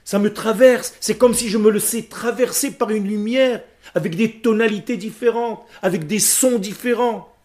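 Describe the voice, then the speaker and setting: A man's voice: sits high, normal speed, few pauses, darkish tone. Emphatic man teaching a class. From Israel. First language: Hebrew. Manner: emphatic, questions the class, explains word by word. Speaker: Hebrew and Torah teacher.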